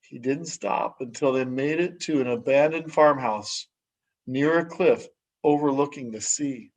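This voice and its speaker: Slow-paced man reading a picture book. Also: mid-range voice, low-quality recording. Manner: measured, dramatic phrasing with hushed sentence endings. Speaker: story reader. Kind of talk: expressive children's picture book read-aloud.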